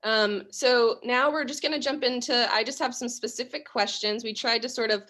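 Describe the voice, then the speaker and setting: A woman's voice: fairly high pitch, steady tone, moderate pace, sharp tone. A woman hosting a virtual school open house over a video call, laying out each step of the event plainly and moderating a panel. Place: home or office on a video call.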